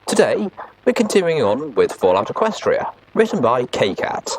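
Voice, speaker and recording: Very animated male show host. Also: medium pitch, moderate pace, background noise. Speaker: host of a fan fiction reading show. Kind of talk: scripted show introduction.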